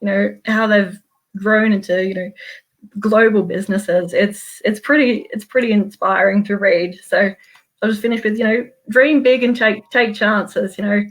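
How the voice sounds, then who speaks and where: A woman's voice: mid-range voice, steady tone, moderate pace, poor audio. Australian woman, chatty and earnest. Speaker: meetup guest speaker, small business owner. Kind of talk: casual book review to a group. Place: home office, on a video call.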